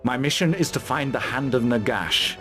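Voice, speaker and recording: A man's voice: mid-range voice, lively intonation, normal speed, pushed and bright, faint music behind. A man playing a bold mercenary commander in a fantasy game, blunt and commanding in manner. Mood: resolute. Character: bold, gruff male mercenary army commander. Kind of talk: scripted fantasy game acting.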